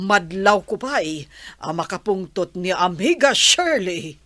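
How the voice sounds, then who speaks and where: A woman's voice: mid-range voice, lively intonation, takes it slowly, fairly bright tone, no echo. A woman acting in an audio drama as a worried, concerned grandmother-to-be. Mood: worried, gossiping.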